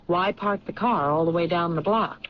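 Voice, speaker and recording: An actress playing a sharp, persistent police lieutenant in a radio drama, questioning in a matter-of-fact way. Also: female voice, fairly low pitch, moderate pace, lightly acted. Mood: confidently probing. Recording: muffled, noisy old radio drama recording.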